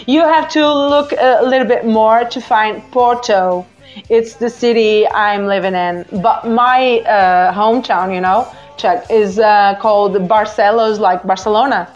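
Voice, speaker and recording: Portuguese woman hosting a casual call-in, accent, slower halting talk, muffled lo-fi sound. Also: medium pitch. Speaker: host of a live call-in show. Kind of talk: casual live chat with listeners. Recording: dull lo-fi app audio with music. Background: music.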